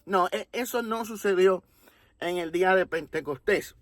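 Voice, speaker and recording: A man from Puerto Rico with a Puerto Rican accent, explaining a religious topic in a deliberate, teacherly way, often checking in with his listeners. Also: high-pitched, fairly bright tone, dry recording. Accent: Puerto Rican Spanish. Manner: deliberate, teacherly, with rhetorical tags. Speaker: religious commentator. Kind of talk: explanatory monologue.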